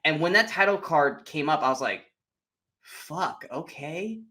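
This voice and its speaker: A man co-hosting a film podcast in a casual chat, animated and sweary, acting out his reactions as he retells things. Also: on the high side, fairly quick. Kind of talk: casual movie spoiler chat.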